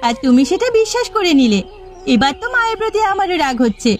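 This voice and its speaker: A woman playing a hurt, long-suffering young village wife in a cartoon melodrama, with quick, plaintive delivery. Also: extremely high pitch, big pitch swings, soft background music. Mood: hurt, indignant. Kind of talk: melodramatic cartoon family comedy acting.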